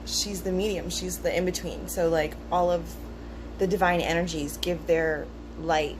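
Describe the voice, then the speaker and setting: A woman in a casual, rambling talk, part reading aloud and part musing, relaxed and thoughtful with frequent ums and pauses. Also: lowish voice. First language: English. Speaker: vlogger discussing esoteric teachings. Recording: noticeable background hum.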